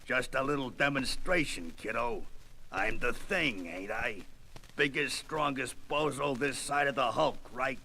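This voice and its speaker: A man playing a gruff, brawny rock-skinned monster hero in a comic-book audio drama, with gravelly, slangy, blustering tough-guy talk. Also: mid-range voice, measured pace, bright, forceful tone, a little hiss or hum. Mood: boastful, bitter.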